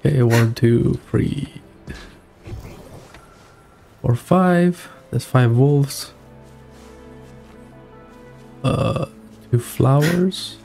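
A man's voice: mid-range voice, drawn-out words, creaky, long pauses, warm tone, faint music behind. A young man streaming a game from a small room, reacting casually in a rambling, muttering, playfully exasperated way. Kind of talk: casual live gameplay reaction.

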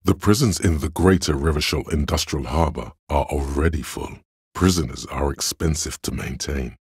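A cool, superior voice of pure reason for a video game. It is extremely deep and heavily husky, full of gravel. The delivery is measured, deliberate and dryly analytical, like dramatic narration. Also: male voice, dry recording, clean studio sound, full character voice. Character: cool, analytical inner voice of Logic, dry and superior.

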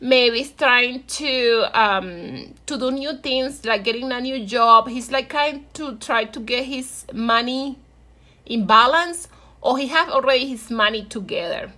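A woman's voice: on the high side, measured pace, bright, forceful tone. A woman from Venezuela with a Venezuelan Spanish accent, giving a casual, encouraging tarot reading and addressing viewers affectionately. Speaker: tarot reader.

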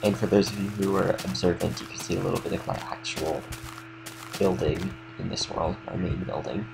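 A young man with a slight cold, casually explaining a game build in a relaxed, rambling way with lots of fillers. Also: low-pitched, normal speed, gravelly, music in the background, poor audio. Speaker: game tutorial creator. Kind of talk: casual gameplay tutorial commentary.

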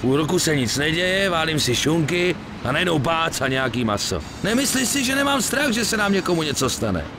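A man's voice: on the high side, sing-song, few pauses, background noise. A man voicing a weary, streetwise middle-aged gangster in a Czech video game dub. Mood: weary, grumbling. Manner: colloquial, grumbling, swearing.

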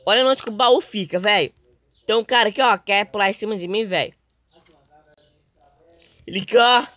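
A young man with a Brazilian accent and a slightly hoarse voice, doing casual, slangy gameplay commentary as a video host. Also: high voice, normal speed, bright, forceful tone, no echo. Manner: informal, slangy.

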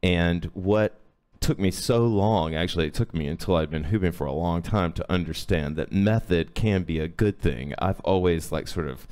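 A man in his thirties teaching a live-streamed hoop dance class from his home, sick with a lowered voice, rambling with many fillers. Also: moderate pace, hardly any pauses, darkish tone, clear, resonant tone. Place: home room indoors. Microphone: webcam at a few feet.

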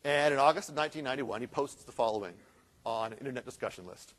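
A man giving a conference keynote, telling a story with rhetorical questions and lively asides. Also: mid-range voice, fast talker. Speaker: keynote speaker.

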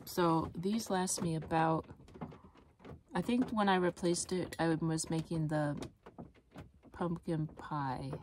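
A woman in her thirties chatting casually in a cooking vlog, relaxed and rambling, thinking aloud as she goes, filmed on a phone at arm's length inside a parked car. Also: deep voice. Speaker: vlogger. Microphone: phone camera at arm's length. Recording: noisy car interior.

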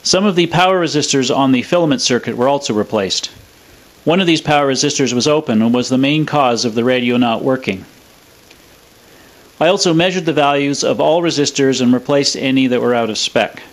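Male presenter, scripted technical narration, mid-pitched voice. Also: a little fast. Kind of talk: scripted narration of a radio restoration demo.

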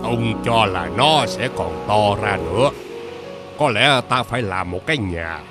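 A man playing a kindly old mandarin in an animated fairy tale, gentle and deferential. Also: on the low side, a little slow. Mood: calm, practical. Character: kindly old court mandarin posing as a grandfather. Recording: background music under the voice.

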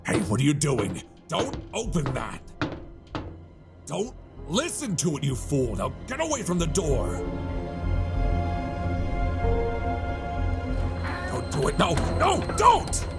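A man's voice: on the high side, huge pitch swings, heavily acted. A man playing a shivering, defensive stranger in a horror audio roleplay, stammering and sighing as he talks. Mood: desperate, commanding. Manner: halting, stammering, breathy sighs. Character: shivering, defensive man, secretly an impostor creature. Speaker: voice actor. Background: music.

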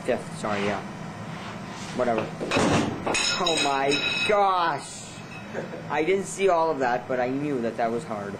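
A man's voice: medium pitch, animated, unhurried, pauses often. A young man giving playful mock sports commentary on a home bowling game, joking and exclaiming, recorded in a basement. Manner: jokey, exclaims often, self-mocking. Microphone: camcorder mic. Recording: noisy 2000s home camcorder audio.

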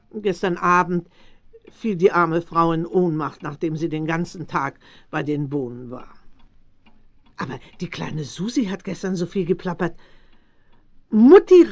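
Casting: plaintive country housewife, motherly and fretful, lowish voice, big melodic swings, radio drama. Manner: plaintive, fussing, emotional. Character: distressed motherly farmer's wife, fretful but hospitable. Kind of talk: German radio play acting for young listeners.

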